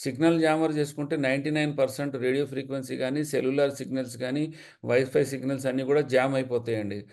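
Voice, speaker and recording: A man's voice: medium pitch, level tone, very fast, darkish tone. A man with a Telugu accent explaining as an expert, mixing in English technical terms.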